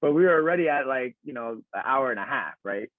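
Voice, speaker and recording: Young son co-host, playful fast banter, thin phone-like dry sound. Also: male voice, mid-range voice, animated, no echo. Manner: quick, laughing, teasing. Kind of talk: casual chat.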